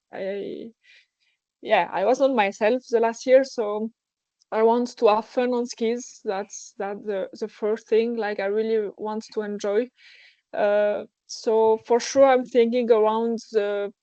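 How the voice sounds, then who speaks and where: A woman's voice: a little high, mellow, dry recording, muffled recording. A young woman with a French accent, a guest in a casual interview, speaking hesitantly and searching for words in her second language. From France. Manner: hesitant, searching for words, many false starts. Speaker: guest athlete.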